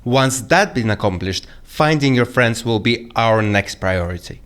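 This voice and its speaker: A man's voice: mid-range voice, lively intonation, unhurried, a bit bright. A man playing a formal, commanding captor in an audio drama, businesslike and matter-of-fact about his plotting.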